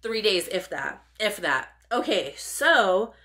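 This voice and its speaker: A chatty woman in her thirties talks to a handheld camera in her kitchen, with a voice a little raspy from a cold. Her tone is bright and forward, and the room gives it a noticeable echo. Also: mid-range voice, sing-song, slow. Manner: chatty, candid, laughs often. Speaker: vlogger. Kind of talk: casual vlog chat. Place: home kitchen and living room. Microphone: camera held at arm's length. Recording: echoey room.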